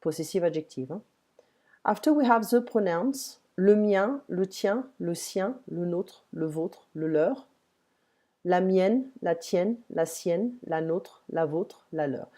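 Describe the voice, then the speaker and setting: A woman teaching grammar in English, patiently modelling each word slowly. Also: fairly low pitch, lively intonation, warm tone. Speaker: French tutor. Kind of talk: one-on-one language lesson, explaining grammar.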